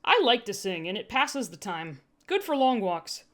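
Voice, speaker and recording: A woman's voice: mid-range voice, very animated, moderate pace, natural voice. A woman voicing an upbeat, eager female traveller in an audiobook, with an encouraging, enthusiastic manner. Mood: eager, friendly.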